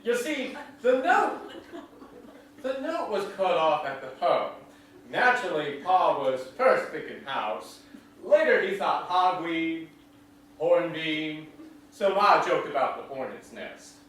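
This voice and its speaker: A man's voice: a little high, very animated, slow pace, fairly bright tone, full character voice. A man playing a genial small-town countryman in a stage drama, talking in a folksy rural dialect. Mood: amused. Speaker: actor in a staged reading. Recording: echoey hall, distant mic, faint noise.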